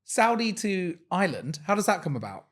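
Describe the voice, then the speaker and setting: A young man with an English accent chats easily as a podcast co-host. He jokes at his own expense and keeps things light. His voice sits slightly higher than most men's. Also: very animated, brisk, a bit bright. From England. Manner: chatty, self-deprecating, jokey. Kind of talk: casual chat.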